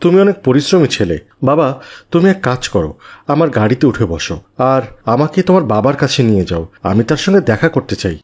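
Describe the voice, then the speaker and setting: A man voicing a kindly, wealthy, fatherly businessman in a children's moral cartoon, gentle and sympathetic. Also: mid-range voice, very animated, fast.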